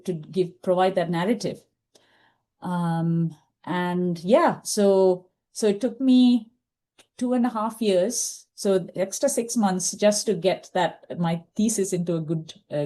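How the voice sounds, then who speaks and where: A woman's voice: mid-range voice, dark tone. A middle-aged woman whose first language is Tamil, a podcast guest thoughtfully telling her own career story, with frequent fillers and restarts. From India. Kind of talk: reflective interview storytelling.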